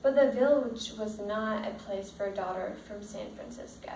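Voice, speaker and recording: A woman reading a picture book aloud as a teacher, pausing to put simple questions to young pupils. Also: medium pitch, mellow. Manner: checks understanding with simple questions. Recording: roomy, distant mic, faint background noise.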